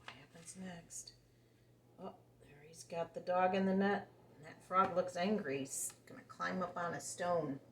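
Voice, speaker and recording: A woman's voice: lowish voice, fairly even intonation, measured pace. A woman telling a picture-book story to young children, simply and expressively, putting questions to the listener. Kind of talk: animated read-aloud storytelling for young children. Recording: roomy echo, faint background noise.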